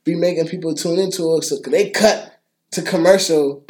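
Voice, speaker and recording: A man's voice: on the high side, steady tone, moderate pace, a little mellow. A man co-hosting a podcast in casual chat, slangy, profane and opinionated. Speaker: podcast co-host.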